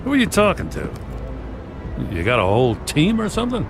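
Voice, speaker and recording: An actor playing a weary, worried police commissioner in a video game, urgent and exasperated. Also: male voice, on the high side, huge pitch swings, a little fast, music in the background.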